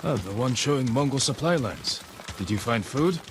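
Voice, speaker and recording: A man's voice: mid-range voice, lively intonation, moderate pace, noisy background, natural voice. A man acting an earnest, resolute young samurai in a video game cinematic, serious and restrained in the way he talks. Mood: restrained resolve.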